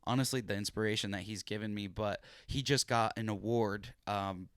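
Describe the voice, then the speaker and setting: A young man with a low, smooth, clear voice, hosting a casual podcast chat. He talks earnestly, rambling a little with restarts and fillers. The sound is close and dry, straight into a podcast mic. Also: steady tone, moderate pace, fairly bright tone, no room sound. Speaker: podcast host.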